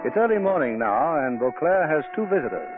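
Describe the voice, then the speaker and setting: A man's voice: a little high, sing-song, brisk. A man narrating a 1940s radio drama in a formal, dramatic style. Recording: tinny 1940s radio recording. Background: music.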